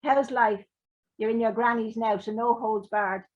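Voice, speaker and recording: A woman's voice: fairly high pitch, steady tone, measured pace, muffled recording. A woman voicing a chirpy, cheeky old grandmother who coaxes and teases her grandson. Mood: coaxing, teasing. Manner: coaxing, teasing.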